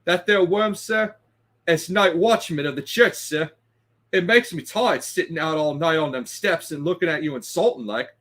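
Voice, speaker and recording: A man's voice: on the high side, normal speed, a bit bright. A man voicing a young, deferential but scrappy Cockney bellboy who talks in ungrammatical, indignant bursts in a read-aloud story. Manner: ungrammatical, peppered with respectful address.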